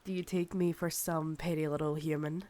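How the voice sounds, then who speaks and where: A woman's voice: deep voice, level tone, warm tone, close to an everyday voice. A woman with a Scottish accent playing a possessive, proud, seductive ancient vampire in an intimate ASMR roleplay, teasing and condescending. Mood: smug, cold. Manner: slow, teasing, condescending, calls listener darling.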